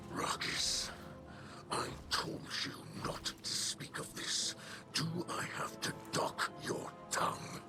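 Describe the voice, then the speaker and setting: A man playing a grave alien warrior leader and protective father in a video game, speaking in a formal, measured way with a breathy rasp. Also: close to an everyday voice. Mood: stern anger. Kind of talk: scripted video game dialogue. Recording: music behind the voice.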